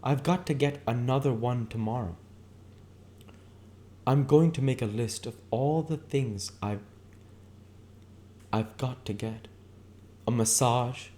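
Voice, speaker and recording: Man putting on a high-pitched, gushing, brash woman. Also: dark tone, slight background noise, natural voice. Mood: gushing, showy. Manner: dramatic, gossipy. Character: brash, gushing, affected married woman in a 1920s novel. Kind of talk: character voice in an audiobook reading.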